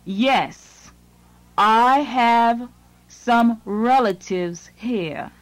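A woman reads English lines for a language course, very slowly and carefully. Each phrase is set apart for learners to follow. The recording is dry and close, with no room sound. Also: a little high, no room sound, poor audio. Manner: slow, deliberate, phrase by phrase. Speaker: language lesson narrator. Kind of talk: scripted lesson reading.

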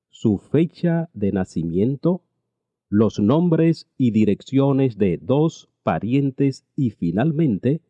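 A man teaching a language lesson, reading each phrase slowly and repeating it twice. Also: mid-range voice, mellow, dry recording, lo-fi sound. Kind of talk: slow repeated translation drills.

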